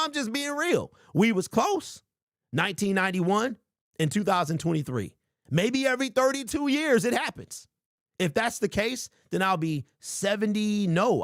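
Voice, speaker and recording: A man in his forties hosting a casual sports talk show, reading viewer comments and rambling with lively emphasis. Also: sits high, dry recording, studio quality. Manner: animated, rambling, calls listeners bro. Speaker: sports podcast host.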